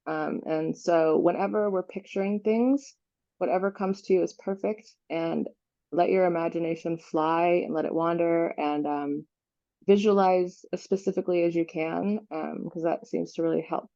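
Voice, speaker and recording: A woman leading an unscripted guided visualization meditation, giving gentle instructions with pauses. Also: fairly low pitch, fairly even intonation, lo-fi sound.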